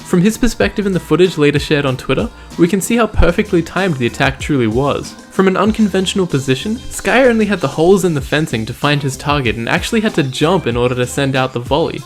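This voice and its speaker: A man with a slightly high-pitched voice narrates a scripted esports highlight recap as its host. His phrasing is measured, formal and wordy, and music plays behind him. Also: expressive. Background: background music.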